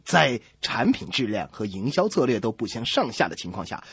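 Lively husky male comedy radio co-host. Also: medium pitch, normal speed, no echo. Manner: mock-serious, theatrical. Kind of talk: scripted comic banter and joke telling.

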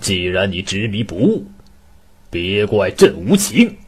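A man's voice: fairly low pitch, sing-song, unhurried, husky, exaggerated voice. A man voicing a stern, weary middle-aged emperor with an imperious manner in a Chinese costume radio drama. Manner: solemn, imperious. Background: background music.

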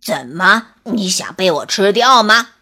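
A woman's voice: mid-range voice, expressive, unhurried, bright, forceful tone. A woman voicing a menacing, threatening big grey wolf in a children's bedtime story. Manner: growling, threatening.

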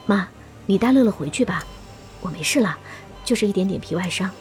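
A woman's voice: medium pitch, expressive, a little fast, a little mellow, soft background music, close to an everyday voice. A woman playing a caring, composed young mother in an audio drama. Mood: reassuring.

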